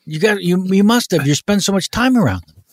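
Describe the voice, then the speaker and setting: A man's voice: high-pitched, animated, a little fast, dry recording, studio quality, voice pushed up. A man playing an encouraging but needling friend in improvised comedy, casual and coaxing in manner.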